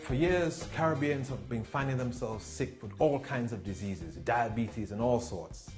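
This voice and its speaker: A man's voice: medium pitch, a little slow, darkish tone. A man presenting a scripted magazine programme in a narration style. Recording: music bed under voice. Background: music.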